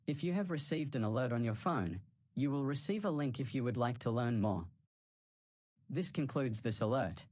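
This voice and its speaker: A man's voice: medium pitch, darkish tone, dry recording, clean studio sound, lightly acted. A man playing a formal civil defence announcer reading a scripted emergency broadcast.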